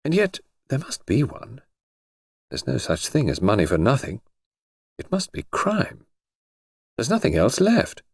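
A man voicing a bold young woman who speaks bluntly and calmly. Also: medium pitch, unhurried, pauses often, husky, dry recording, clean studio sound, pitched above the performer's own voice, heavily acted. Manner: blunt, calm, direct.

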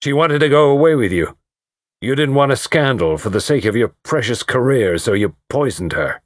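A man's voice: medium pitch, lively intonation, moderate pace, no echo, studio quality, pitched above the performer's own voice, lightly acted. A man voicing a blustering middle-aged party host who speaks heavily and agitatedly. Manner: heavy, agitated.

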